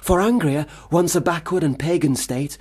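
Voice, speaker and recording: An actor in a radio play, a man, playing a failed, self-pitying young gentleman writer with formal, wordy diction. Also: on the high side, normal speed, husky, no echo. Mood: boyish, boastful. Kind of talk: radio play monologue and dialogue.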